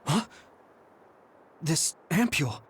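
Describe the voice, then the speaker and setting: An actor voicing an earnest, troubled young platoon hero in an English-dubbed anime game, speaking plainly. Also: male voice, a little high, lively intonation, slow, husky, exaggerated voice. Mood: questioning. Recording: game music behind the voice.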